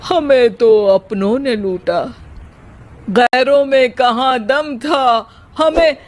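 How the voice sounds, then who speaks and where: A lazy young husband in a cartoon, acted out by a woman, who fancies himself a poet. He declaims slowly and theatrically, as if every line were a couplet. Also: high-pitched, pitched above the performer's own voice. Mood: theatrical complaining. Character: lazy, theatrical young married man who spouts comic rhyming poetry. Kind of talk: cartoon story acting.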